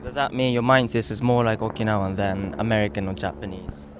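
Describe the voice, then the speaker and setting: A man interviews a guest with short, plain questions, his voice sitting fairly low. He keeps his turns brief and fills the gaps with quick murmurs of agreement. Faint traffic sounds drift in behind him. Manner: short simple questions, brief "mm" and "right" backchannels. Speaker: interviewer, host.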